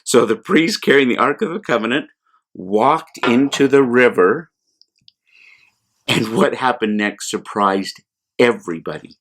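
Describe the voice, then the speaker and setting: An American man, a priest, telling a Bible story to children in simple words with deliberate repetition for emphasis. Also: mid-range voice, fairly bright tone. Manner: simple, deliberate explanations with repeated phrases for emphasis.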